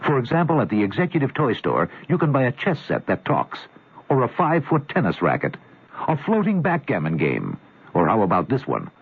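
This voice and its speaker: A man reading a radio ad in a smooth, salesy delivery. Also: medium pitch, wildly swooping pitch, a little fast. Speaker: radio ad announcer. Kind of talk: scripted radio ad read. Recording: tinny old radio broadcast.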